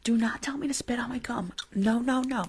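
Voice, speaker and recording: A young woman playing a rude, weary school nurse in an ASMR roleplay, grumbling in a breathy whisper close to the mic. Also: fairly high pitch, fairly quick, a little mellow, slight background noise, natural voice. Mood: annoyed, weary. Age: young adult. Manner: sassy, grumbling, rambling. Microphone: close foam-covered microphone on desk.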